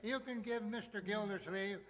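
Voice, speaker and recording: An actor playing a genial, teasing older judge with folksy delivery in a radio comedy. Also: male voice, high voice, normal speed, a bit bright. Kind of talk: old-time radio comedy acting. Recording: echoey hall stage recording.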